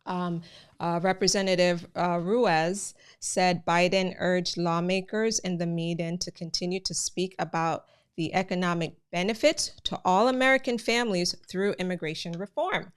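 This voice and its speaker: A woman's voice: lowish voice, fairly even intonation, normal speed, clear, resonant tone. A woman with a Jamaican accent, hosting a live immigration news channel and talking casually to her viewers, often calling them guys. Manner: chatty, addresses viewers as guys, many fillers.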